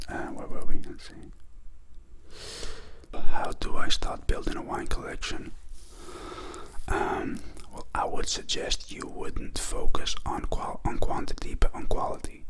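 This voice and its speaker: Guy whispering close to the mic, slow soft asmr q&a, lots of ums. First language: Greek. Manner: soft unhurried whisper with many fillers. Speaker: ASMR channel host.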